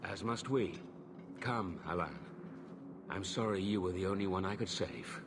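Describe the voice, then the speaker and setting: A deep-voiced, solemn knight. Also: male voice, measured pace, noisy background. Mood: regretful. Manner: solemn, reluctant. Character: conscientious, weary Templar knight, grave and regretful. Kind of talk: dramatic video game acting.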